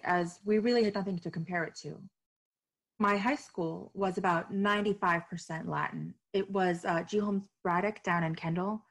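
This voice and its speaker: A woman from Miami, an author and activist, answering interview questions in a reflective way. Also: lowish voice. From Miami, United States. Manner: reflective, with some hesitations and restarts.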